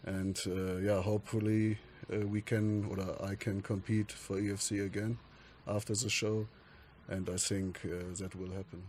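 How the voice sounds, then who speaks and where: A man answers fluently and matter-of-factly. His voice sits fairly low and stays even, with little movement in pitch. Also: normal speed, few pauses, a little hiss or hum. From Germany. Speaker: MMA fighter guest. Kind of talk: interview answer.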